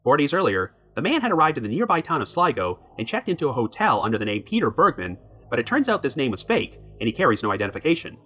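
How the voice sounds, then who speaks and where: Lively, fast-talking male narrator. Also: medium pitch. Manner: reads a prepared script in an even, measured way. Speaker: podcast host. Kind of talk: scripted true crime narration.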